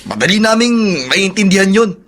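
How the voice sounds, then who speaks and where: A man's voice: high-pitched, lively intonation, moderate pace, a bit bright, a little hiss or hum. An actor playing a blunt, resentful young man with a Filipino accent, complaining defiantly in a radio drama.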